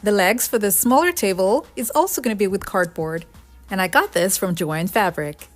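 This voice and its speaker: A woman's voice: medium pitch, expressive, normal speed. A woman giving an explanatory, step-by-step voice-over for a craft tutorial. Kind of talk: scripted DIY tutorial voice-over narration. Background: background music.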